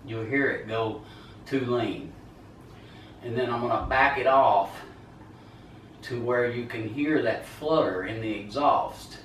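Repair instructor, loud over machinery, picked up by a distant camera in a very echoey garage. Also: male voice, mid-range voice, slow, pauses often, a little hiss or hum. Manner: loud over running chainsaw, talks while working. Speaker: small-engine repair instructor. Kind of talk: hands-on repair tutorial. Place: large garage with concrete floor.

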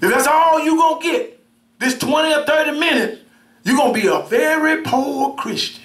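A middle-aged minister preaching a sermon, with call-and-response, repeated words and swings between shouts and soft asides. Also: male voice, very high voice, normal speed. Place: church sanctuary, indoors, at a pulpit. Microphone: pulpit mic from a far camera. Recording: roomy church sound from far off.

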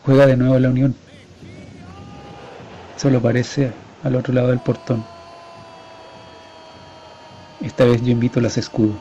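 A man's voice: medium pitch, little pitch movement, a little fast, warm tone, slight background noise, lightly acted. A man with a Chilean accent playing a conflicted divorced father in a colloquial, confessional radio drama. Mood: firm, conflicted. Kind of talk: radio drama monologue acting. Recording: slightly dull, lo-fi sound.

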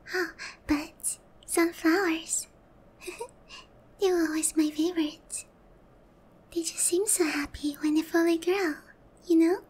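A gentle young demon queen voiced by a woman speaks in a very high, delicate voice that often sinks into a breathy whisper. She is slow and hesitant, leaving room between phrases. The tone is tender and remorseful, in the style of an intimate ASMR roleplay. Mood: fond, nostalgic.

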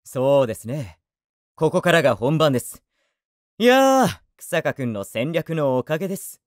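A man voicing a gentle, eccentric young idol teacher in an anime voice drama, speaking courteously like a teacher. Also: on the high side, big pitch swings, no echo, studio quality. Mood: encouraging. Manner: courteous, measured teacherly address.

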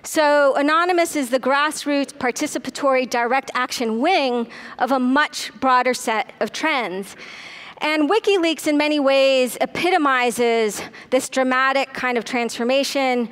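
A middle-aged woman speaks from a podium microphone in a large conference hall, delivering a keynote lecture. Her voice sits high and comes across smooth and clean. She tells her story at a brisk clip, filling the pauses with ums and uhs. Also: a bit bright.

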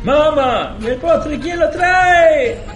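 An eager, helpful family youngster played by a woman in a radio play. The voice is high and unhurried, in an echoey room. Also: animated, exaggerated voice. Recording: echoey room, music behind.

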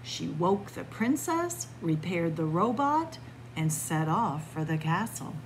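Expressive, lively woman reading a picture book. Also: fairly low pitch, slight background noise, low-quality recording. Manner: expressive storytelling with deliberate pauses. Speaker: library story time reader. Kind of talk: read-aloud picture book narration. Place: indoors in a library, among bookshelves.

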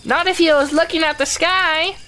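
A woman voicing a small, timid, eager young pig in an audio drama, fussy and quick to chime in. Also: extremely high pitch, steady tone, sharp tone, a little hiss or hum.

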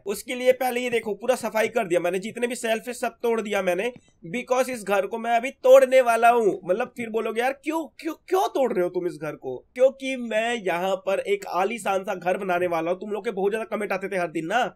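Hoarse high-voiced young man gaming. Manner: chatty, keeps calling viewers brother. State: hoarse from a sore throat. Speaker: gaming channel host. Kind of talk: casual gameplay commentary.

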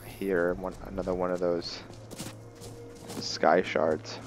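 A man giving unscripted, reflective roleplay gameplay commentary, thinking aloud in a halting, musing way. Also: sits low, little pitch movement, music in the background. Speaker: gamer narrating a roleplay playthrough. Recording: game rain and thunder behind voice. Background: game ambience of rain and thunder.

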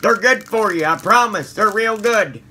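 A man's voice: high voice, normal speed, pushed and bright, a little hiss or hum. A man playing a cranky, folksy old man who stammers and mutters mild curses at his viewers. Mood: grumbling. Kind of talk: comedic character monologue to viewers.

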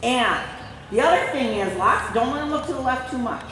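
A woman's voice: on the high side, lively intonation, fairly quick, a bit bright, far from the mic. Woman riding coach in a very echoey arena. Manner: repeats short commands. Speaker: horse riding instructor. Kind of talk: live coaching, calling out instructions. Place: large indoor riding arena. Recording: very echoey, reverberant hall with faint noise.

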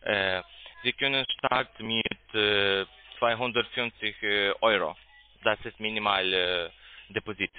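Halting foreign-accented man on phone line. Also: lowish voice, fairly even intonation. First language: not German. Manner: halting, searching for words in broken phrasing. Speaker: phone sales agent pitching investments. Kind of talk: sales call. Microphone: telephone. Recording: tinny, muffled phone line.

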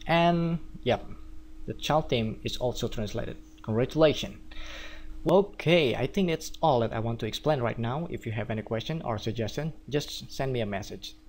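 A man from Indonesia with an Indonesian accent, walking viewers through a software tutorial step by step. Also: mid-range voice, normal speed, a little hiss or hum. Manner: steady step-by-step explaining. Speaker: theme developer. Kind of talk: screencast tutorial.

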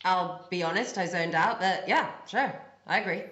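A young actress playing a flustered, casual Cinderella in a comic pantomime, hesitant and offhand. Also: female voice, fairly low pitch, moderate pace, reverberant, dull audio, heavy background noise, lightly acted. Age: young adult. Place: room with brick wall, video call.

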